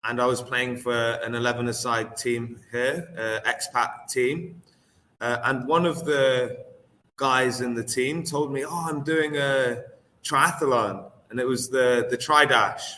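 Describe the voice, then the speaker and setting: A young man, a triathlete talking casually as an interview guest, relaxed and hesitant with many fillers. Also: medium pitch, moderate pace, big-room sound, a little hiss or hum, poor audio. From England.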